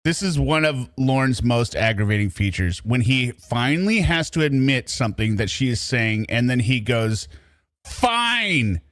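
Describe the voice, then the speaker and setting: A man's voice: medium pitch, normal speed, hardly any pauses, a bit bright, no echo. A man giving casual, mocking reaction commentary with sarcastic, exasperated and profane asides. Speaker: commentator reacting to recorded calls.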